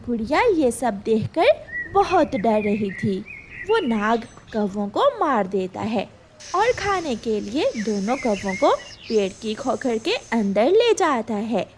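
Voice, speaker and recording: A woman narrates a children's cartoon story expressively. Her voice is high and melodic, the pace is slow and deliberate, and noise sits behind her. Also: very animated.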